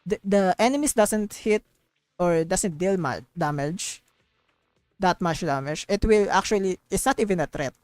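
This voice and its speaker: A woman's voice: on the low side, expressive, normal speed, darkish tone, no room sound, faint music behind. A woman live streamer giving casual, rambling gaming commentary, emphatic and opinionated, repeating phrases to drive points home.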